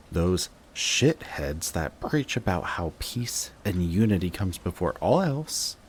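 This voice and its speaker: A man acts out a bitter, guarded male villain in an audio roleplay monologue. His voice sits very low and moves very slowly, with intense, sardonic delivery and dramatic pauses. Clear background noise sits behind the voice. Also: darkish tone. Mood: bitter, angry. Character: bitter adult male villain, guarded, secretly devoted to rival.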